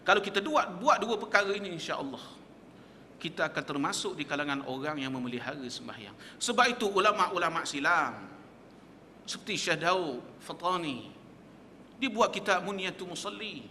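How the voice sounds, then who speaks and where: A man's voice: sits high, expressive, normal speed, sharp tone, in a large hall, a little hiss or hum. A man teaching in a mosque, asking emphatic rhetorical questions and quoting Arabic texts. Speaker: religious teacher. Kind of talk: mosque lecture. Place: prayer hall of a small mosque.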